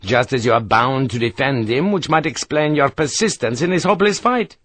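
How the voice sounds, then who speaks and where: A man reading plays a smug French cavalry colonel who drawls his threats slowly and slyly. The delivery is dry and close, with lively turns of pitch. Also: no room sound.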